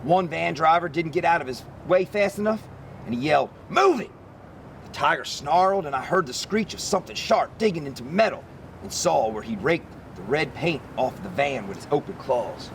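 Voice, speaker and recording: Animated, gravelly man reading a storybook. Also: a little high, fairly quick, slight background noise. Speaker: story reader. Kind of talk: expressive picture-book read-aloud.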